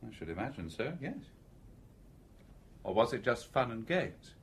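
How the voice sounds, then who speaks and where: An actor with a British accent playing an inquisitive, composed engineer in a radio drama, urbane and conversational. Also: male voice, mid-range voice, big pitch swings, a little slow, breathy edge, exaggerated voice. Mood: cool, curious. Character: inquisitive, coolly composed stranger, a male engineer.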